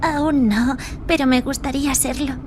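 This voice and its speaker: A woman's voice: high-pitched, fairly even intonation, moderate pace, natural voice. A woman playing a timid teenage girl, a frightened and pleading character in a radio drama. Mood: polite. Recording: noisy car ambience behind voice.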